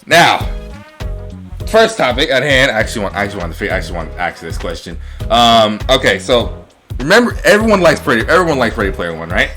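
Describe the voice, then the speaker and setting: A man's voice: mid-range voice, sing-song, fast, bright, forceful tone, soft background music. A man hosting a casual podcast chat, rambling, often repeating himself and swearing freely. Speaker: talk show host.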